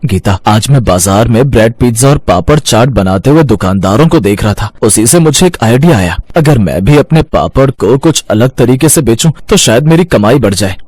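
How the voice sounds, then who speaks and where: A man's voice: medium pitch, lively intonation, normal speed, few pauses, a little mellow, no room sound, close to an everyday voice. A man playing a poor, caring father and hopeful street seller in a moral story.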